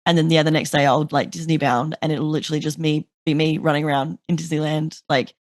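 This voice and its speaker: Brief: woman host with a lowish voice, dry close sound, chatty, swears a lot. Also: fast, dry recording. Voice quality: deeper voice. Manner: chatty, swears freely, animated storytelling. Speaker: podcast host. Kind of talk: casual chat.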